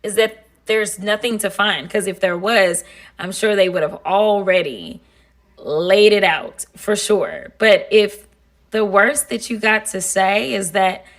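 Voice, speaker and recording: A woman's voice: mid-range voice, slow pace. A woman with a Texan accent talking casually and sassily to her followers in a direct-to-camera social video. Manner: sassy, conversational, addresses viewers as y'all.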